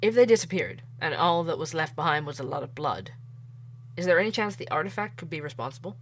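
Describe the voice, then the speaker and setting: A low-voiced woman playing a blunt male investigator. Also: animated, quick pace. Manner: blunt, earnest questioning. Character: male podcast investigator, dogged and opinionated. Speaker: podcast host. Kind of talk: audio drama acting.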